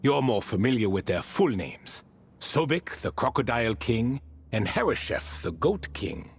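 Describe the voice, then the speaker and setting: An actor playing an ancient, wry and refined spirit who tells stories in a proud, wistful way in a video game. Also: male voice, medium pitch, big pitch swings, measured pace, slight background noise. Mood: fond, wry. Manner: theatrical, refined, leisurely storytelling. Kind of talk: video game character dialogue.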